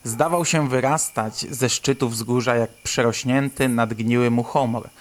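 A man hosts and narrates an audio fiction program. He reads prepared introductions and literary narration in a medium-pitched voice, addressing listeners as a storyteller. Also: fairly even intonation, unhurried. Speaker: host and narrator of a radio play podcast.

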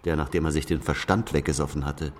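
A man's voice: very deep voice, fairly even intonation, fast. An actor playing a cold, mocking male interrogator in a German radio drama, sardonic and cutting. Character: cold, mocking, menacing male interrogator needling an old man. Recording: long reverb, faint background noise.

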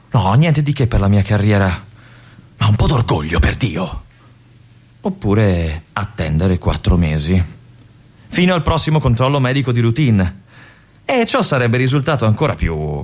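Man as young army lieutenant, uneasy and confiding, low husky voice, stage monologue feel. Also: very animated, darkish tone. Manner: confiding, brooding, halting asides. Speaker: stage actor in a radio monologue. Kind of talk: theatrical monologue.

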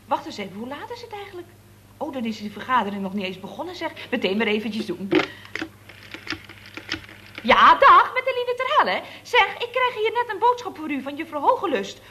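A woman's voice: high voice, big pitch swings, fairly quick, bright, forceful tone, a little hiss or hum, full character voice. An actress playing a chatty, cheeky female secretary at a building firm in a 1960s radio play. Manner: gossipy, sing-song phone manner.

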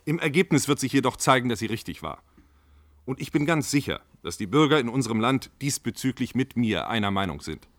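An actor playing a self-assured government official in a radio play, placating in formal, measured bureaucratic language. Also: male voice, medium pitch, very animated, fairly bright tone, no echo. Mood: firm, placating. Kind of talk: radio play studio discussion.

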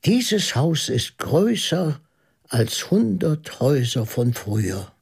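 A shrewd priest detective in a radio drama, with a high male voice that swings widely in melody. He reasons slowly and thoughtfully, weighing each point. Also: sing-song, gravelly, breathy edge, exaggerated voice. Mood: solemn, reflective. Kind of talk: German radio drama acting.

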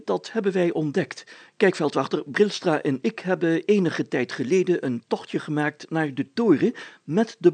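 A man's voice: a little high, vocal fry, darkish tone, breathy edge, no room sound, pitched above the performer's own voice, heavily acted. A man voicing a pompous, optimistic village mayor in a storybook, speaking emphatically and with self-importance.

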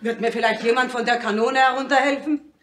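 Actress as a plucky, echoey-voiced Parisian madame. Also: female voice, fairly high pitch, steady tone, brisk, a bit bright, a little hiss or hum, lightly acted. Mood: cheerful. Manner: forthright, questioning. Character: plucky, questioning Parisian working-class madame. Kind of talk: German radio play acting.

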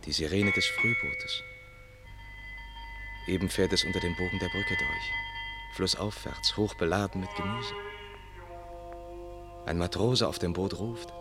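Very deep-voiced composed attendant. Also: male voice, over loud music. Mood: matter-of-fact. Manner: measured, short descriptive phrases. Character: composed, deferential male attendant Antonio guiding his master. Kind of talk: German public radio play acting.

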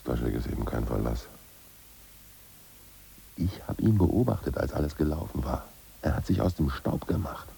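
An ousted old party boss in a radio play, plotting in a deep, gravelly voice that swings up and down. His tone is husky and dark, and he often drops to a hushed whisper. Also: animated, normal speed, long pauses, exaggerated voice. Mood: reminiscing. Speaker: ousted Politburo member. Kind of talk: 1980s radio play acting.

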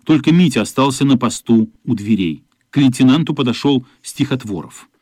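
A man's voice: mid-range voice, animated, normal speed, a little mellow, dry recording, studio quality. A man giving dramatic scripted narration for a radio play. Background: music.

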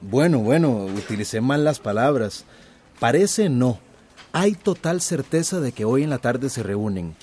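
Deferential, mellow-voiced henchman. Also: medium pitch, expressive, measured pace, breathy edge. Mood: nervous, placating. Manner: apologetic, deferential. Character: deferential male informant reporting to his boss. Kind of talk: radio drama acting. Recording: faint background noise.

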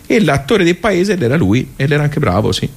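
A man's voice: on the high side, sing-song, normal speed, husky. A man with a Tuscan accent performing a colloquial, rambling storytelling monologue, full of asides to his listeners.

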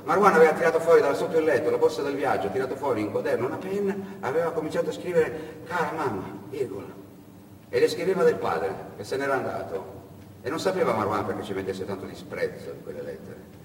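A man's voice: mid-range voice, sing-song. Dramatic male storyteller in an echoey hall. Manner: dramatic, emphatic, with deliberate pauses. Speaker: stage actor and storyteller. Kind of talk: theatrical narrative monologue. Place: reverberant indoor performance space. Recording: echoey hall, distant mic, faint noise.

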